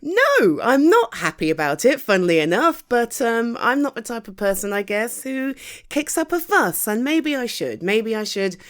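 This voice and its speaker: A woman's voice: fairly high pitch, very animated, moderate pace. A woman with a British accent playing a caring, tired girlfriend home from the office, talking in a soothing, chatty, hesitant way in an intimate ASMR roleplay. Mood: weary, resigned.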